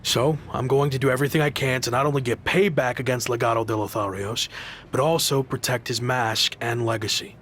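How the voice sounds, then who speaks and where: An earnest male pro wrestler played by an actor in a video game story scene, out to prove himself. His voice sits at an average pitch and sounds natural and conversational, over faint background ambience. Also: fairly even intonation, fairly quick, a bit bright, a little hiss or hum, lightly acted. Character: male pro wrestler, former WWE talent, earnest underdog seeking revenge. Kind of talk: scripted video game cutscene acting.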